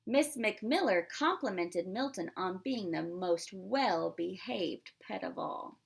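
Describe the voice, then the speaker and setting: A woman's voice: mid-range voice, lively intonation, slow, creaky, slightly rough. A woman reading a picture book aloud as a pre-K teacher, expressively, with simple asides to young children.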